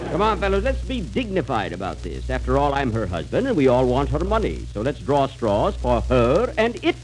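An actor playing a pompous, blustering British lord and husband in a vintage radio comedy, with a mock-refined manner. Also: male voice, medium pitch, huge pitch swings, normal speed. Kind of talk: 1930s radio comedy acting. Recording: faintly noisy vintage radio broadcast.

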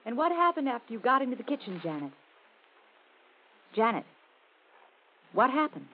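Female reporter, gently probing, highish voice, vintage radio drama with thin, slightly noisy sound. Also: sing-song, moderate pace. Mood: probing, hushed. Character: gently probing woman reporter questioning a young girl. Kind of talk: old-time radio drama acting. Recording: tinny, faintly noisy old radio recording.

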